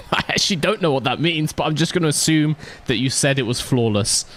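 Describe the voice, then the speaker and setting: Lively playful male game streamer. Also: fairly high pitch, brisk, slight background noise. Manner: playful, jokey, talks to chat. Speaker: streamer playing a racing game. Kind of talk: live gameplay commentary.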